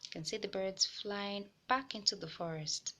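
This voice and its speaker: A woman hosting a children's read-aloud, narrating a storybook with deliberate phrasing for young listeners. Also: medium pitch, pushed and bright.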